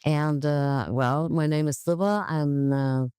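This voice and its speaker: A woman's voice: low-pitched, steady tone, slow, mellow, clear, resonant tone, dry recording, clean studio sound. A woman from Gaza, answering interview questions in a blunt, matter-of-fact way. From Gaza, Palestine. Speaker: podcast guest, community development worker.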